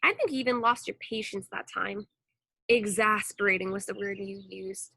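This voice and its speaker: A woman reading a children's story aloud in an expressive narrating style. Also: mid-range voice, moderate pace, gravelly, breathy edge. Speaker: storybook reader.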